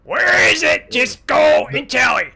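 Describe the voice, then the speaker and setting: A man playing a bossy, impatient small-town Southern boss in comic game roleplay, barking orders in a raspy squawk. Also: extremely high pitch, animated, measured pace, shouting, crowd noise, heavily acted. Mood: exasperated, demanding.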